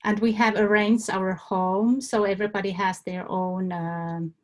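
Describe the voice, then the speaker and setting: Woman from Finland, interviewee, halting and thoughtful, unhurried delivery. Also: mid-range voice, level tone. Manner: hesitant, many fillers and restarts. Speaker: survey respondent. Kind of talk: hesitant answers in an interview.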